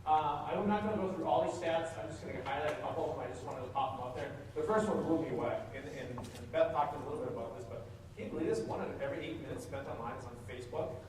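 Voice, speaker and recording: A man giving a fast, energetic, interactive presentation to a room of people. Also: fairly high pitch. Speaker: conference speaker. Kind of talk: live presentation talk. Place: meeting room with audience. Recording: echoey room from far off with noise.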